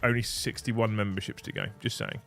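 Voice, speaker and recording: A man's voice: fairly low pitch, huge pitch swings, a little fast, gravelly, fairly bright tone, soft background music. A man, hosting a casual livestream, bantering, swearing and laughing. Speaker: livestream host.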